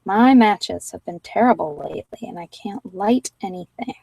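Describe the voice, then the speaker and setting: Soft-spoken woman, dry and slightly distant. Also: mid-range voice, normal speed, creaky, breathy edge, no room sound. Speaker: host of guided meditation sessions. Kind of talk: casual chat introducing an ASMR meditation.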